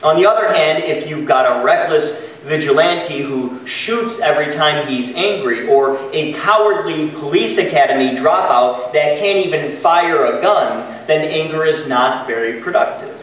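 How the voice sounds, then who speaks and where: Slow-preaching man in an echoey church. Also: a little high, a bit bright, far from the mic. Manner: measured preaching, reading from notes at a pulpit. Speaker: pastor. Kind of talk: sermon. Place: church sanctuary, indoors.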